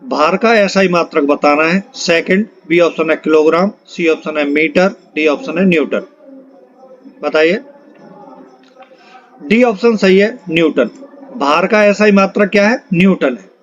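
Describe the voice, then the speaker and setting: A man's voice: on the high side, a little slow. A man teaches an exam-prep lesson, reading out questions and options and repeating them for emphasis. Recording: dull, lo-fi microphone sound.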